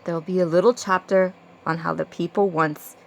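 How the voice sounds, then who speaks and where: A woman's voice: on the low side, fairly even intonation, normal speed, vocal fry, slight background noise, rough recording. Woman reciting rhyming verse, storybook style. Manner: rhythmic, theatrical storytelling delivery. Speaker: author reading own verse.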